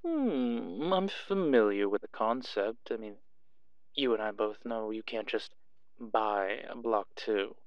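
A man performs a suave underworld club owner for an audio roleplay. He talks slowly, in a teasing, flirtatious purr. The sound is slightly dull, like a call. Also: fairly low pitch, expressive, no echo. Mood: measured. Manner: purring, unhurried, teasing.

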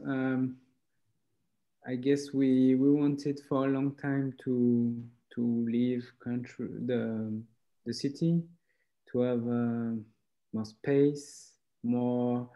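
A man, a graphic designer speaking English haltingly on a video call, often searching for words. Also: medium pitch, mellow. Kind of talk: casual chat. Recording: dull lo-fi call audio.

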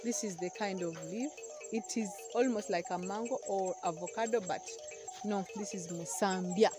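A woman's voice: mid-range voice, normal speed, over music. Young woman vlogging outdoors. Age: young adult. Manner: chatty, explaining plants to viewers. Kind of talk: casual vlog walkthrough. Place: outdoors in a rural field. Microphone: phone camera, often held at arm's length.

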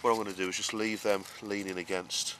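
A man giving a casual, explanatory gardening talk in a halting, unscripted way, as a vlogger would. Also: lowish voice, level tone. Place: outdoor vegetable garden and greenhouse. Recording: clearly noisy outdoor ambience, uneven distance.

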